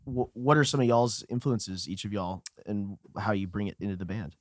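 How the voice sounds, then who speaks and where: A man hosting a casual podcast interview in a music studio room, relaxed with filler words. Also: on the low side, normal speed, no room sound. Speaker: podcast host.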